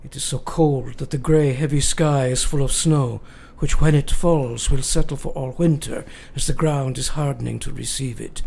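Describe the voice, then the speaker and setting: An actor playing a grave, devoted elderly foreign professor in a radio drama, speaking solemnly and deliberately in broken grammar. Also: male voice, medium pitch, steady tone, vocal fry, mellow, slightly rough. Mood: solemn, fearful. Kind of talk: radio drama acting, dramatic journal narration.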